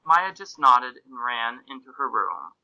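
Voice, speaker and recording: A man's voice: medium pitch, big pitch swings, a little slow, vocal fry, highs cut off, very noisy. A man reading fan fiction and reader comments aloud in a casual, comic podcast style.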